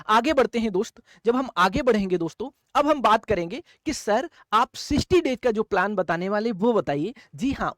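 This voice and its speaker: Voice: mid-range voice, dry recording. Exam coach teaching in a dry room. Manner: addresses listeners as friends and brothers, checks understanding often. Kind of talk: instructional lecture to students.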